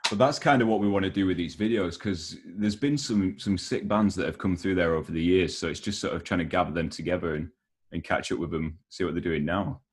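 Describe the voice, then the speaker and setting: A man with a fairly low voice hosts an informal interview. He sounds matey and enthusiastic, putting his questions in loose, slangy phrases. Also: brisk, slightly rough. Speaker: interviewer, host. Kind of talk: casual interview chat.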